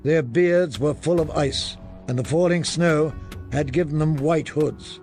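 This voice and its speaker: An older man reading a fantasy audiobook as dramatic literary narration, measured and solemn. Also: on the high side, a little mellow, faint music behind.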